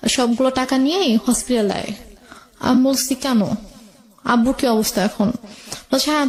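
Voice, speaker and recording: A woman's voice: a little high, darkish tone. A woman with a Bangladeshi accent, a guest recounting her own life story in conversation.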